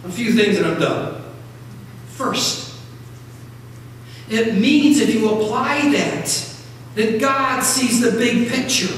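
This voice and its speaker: A middle-aged American man preaching a sermon as a pastor, phrasing emphatically and deliberately with dramatic pauses. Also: very high-pitched. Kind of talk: sermon from a pulpit. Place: large church sanctuary, at pulpit. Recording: very echoey church hall, distant mic, noisy.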